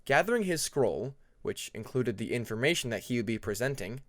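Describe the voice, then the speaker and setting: A man reads scripted fiction as an audiobook narrator. His medium-pitched voice moves in an animated way through deliberate, dramatic phrases. The recording is dry and free of room sound. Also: no room sound.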